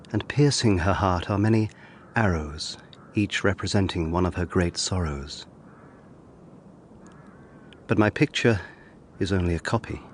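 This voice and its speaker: Low, slow, haunted gentleman narrator. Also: slight background noise. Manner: measured, sombre storytelling. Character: reflective, haunted young 18th-century lawyer recounting his past. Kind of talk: scripted first-person drama narration.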